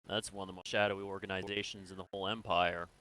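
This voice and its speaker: A man playing a probing, businesslike military interrogator in an improvised sci-fi game role-play, speaking in a measured, matter-of-fact way. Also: sits low, level tone, bright, forceful tone, poor audio. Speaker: role-player in an online game.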